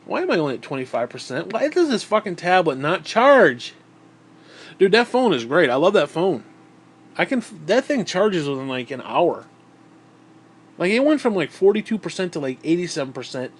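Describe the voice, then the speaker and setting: A man's voice: high voice, big pitch swings, normal speed, slightly rough. A man with a stuffed-up, nasal voice, talking in a bitter, profane, rambling monologue into a tablet. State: stuffed-up nose. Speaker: vlogger.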